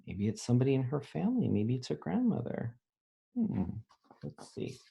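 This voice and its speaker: A man reading a children's picture book aloud as a teacher, pausing to think aloud and explain things simply. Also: mid-range voice, big pitch swings, gravelly, mellow, breathy edge. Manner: pauses to pose questions and think aloud.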